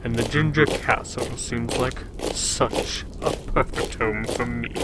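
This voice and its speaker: A man playing a sadistic, mockingly sweet Frost King in a fantasy audio drama, with a slow theatrical delivery. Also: medium pitch, fairly even intonation, very noisy, natural voice. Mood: smug, playful.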